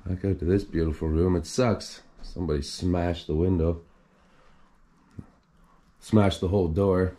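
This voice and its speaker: A man's voice: low-pitched, steady tone, measured pace, long pauses, dark tone. A man casually narrating as he walks through an abandoned house, admiring it and thinking aloud, recorded on a handheld camera. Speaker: urban explorer host. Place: indoors in an empty abandoned house.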